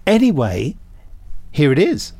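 A man's voice: a little high, huge pitch swings, measured pace, very noisy. Dry-witted man co-hosting. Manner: dry, self-mocking wit. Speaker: podcast co-host. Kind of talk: casual podcast banter.

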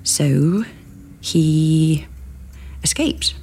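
A woman playing a troubled, bewildered character in an audio drama, hesitant and reflective, with a husky, breathy voice. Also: sits low, drawn-out words, warm tone, heavily acted. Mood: puzzled, reflective. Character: troubled, bewildered woman confiding about a strange man.

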